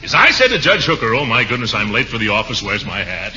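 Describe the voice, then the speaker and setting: An actor playing a pompous, blustering middle-aged uncle in a 1940s radio comedy, full of puns and chuckles. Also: male voice, on the low side, big pitch swings, fast talker, heavy background noise. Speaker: comic lead of a radio sitcom. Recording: dull lo-fi 1940s radio recording.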